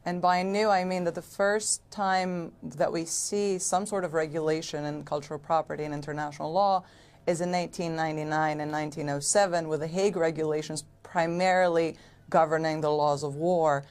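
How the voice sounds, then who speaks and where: A woman who lectures in international law explains legal routes as a TV panel guest. Her voice sits low, and she phrases things carefully and precisely, pausing on ums. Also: steady tone, a little mellow, clean tone.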